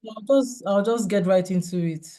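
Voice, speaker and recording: A woman with a cold, hoarse and clearing her throat now and then, giving a measured slide-based health seminar over a video call. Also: mid-range voice, mellow. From Nigeria. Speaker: seminar speaker. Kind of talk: online health talk with slides.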